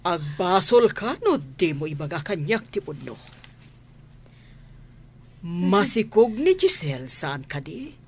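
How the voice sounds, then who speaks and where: An actress playing a stern, suspicious older mistress of a wealthy house in an Ilocano radio drama, scolding and questioning. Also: female voice, on the low side, big pitch swings, slow, long pauses, darkish tone, breathy edge, heavily acted. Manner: scolding, interrogating.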